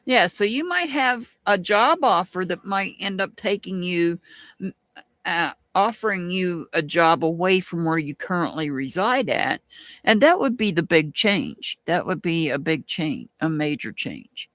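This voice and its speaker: This tarot reader has a Southern US accent and talks in an unhurried, drawn-out way, often stopping mid-thought to start over. The voice sounds dry and close, with no room echo, as the reader chats through a live-stream reading. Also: medium pitch, big pitch swings, a bit bright. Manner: slow, musing, restarts often.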